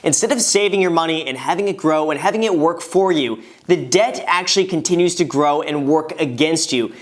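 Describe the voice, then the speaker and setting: A man in his late twenties, talking to camera in an upbeat, conversational way while giving money advice. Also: fairly high pitch. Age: 20s. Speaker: finance vlogger. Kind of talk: casual advice talk to camera.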